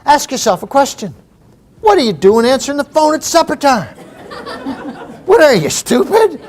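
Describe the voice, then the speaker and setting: High-voiced Canadian man, comic motivational speaker. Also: normal speed, a faint crowd, a little hiss or hum. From Maritime Canada. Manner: animated storyteller, acts out exchanges, repeats phrases for emphasis. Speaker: humorous keynote speaker. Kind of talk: comic motivational talk to a live audience.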